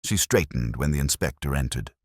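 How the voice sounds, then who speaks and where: A man's voice: rumbling low, expressive, brisk, dry recording. A man narrating a cosy mystery story from a script in a dry, wry manner.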